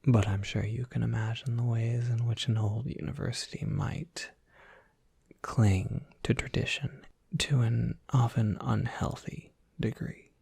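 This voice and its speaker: An ASMR performer playing a courteous, soft-spoken university professor, whispering in formal, measured phrases with a breathy, husky voice. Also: medium pitch, fairly even intonation, no room sound, full character voice. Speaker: professor overseeing a college major.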